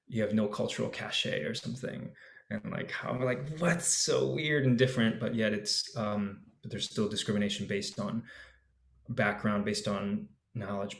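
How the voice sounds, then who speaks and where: A man talking as a guest on a panel, thinking aloud in a rambling way, full of fillers, and trailing off softly at the ends of thoughts. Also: fairly low pitch, gravelly. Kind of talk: casual panel discussion. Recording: echoey room sound.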